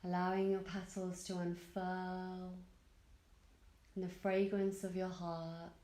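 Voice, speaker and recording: A woman yoga teacher leading a guided meditation in a gentle, soothing manner, leaving long pauses between phrases. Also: fairly low pitch, level tone, echoey room. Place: indoor room at a retreat.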